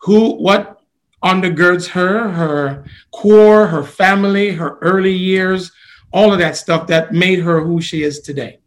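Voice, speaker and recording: A man's voice: high-pitched, measured pace. A man hosting a podcast interview in a formal, courteous way, sometimes tripping over his words. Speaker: podcast host.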